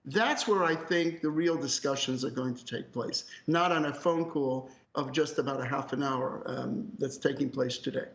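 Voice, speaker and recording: A man's voice: mid-range voice, moderate pace, few pauses, a bit bright. Emphatic male analyst in an echoey room. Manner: emphatic, opinionated, direct. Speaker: academic analyst, interview guest. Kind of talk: live news analysis, conversational. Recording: roomy echo, slightly muffled call audio.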